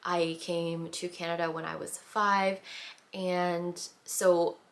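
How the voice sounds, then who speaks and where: A woman's voice: lowish voice, flat delivery, takes it slowly. A young woman from the Philippines whose first language is Tagalog, chatting casually as a vlogger with many fillers and restarts. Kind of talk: casual chat.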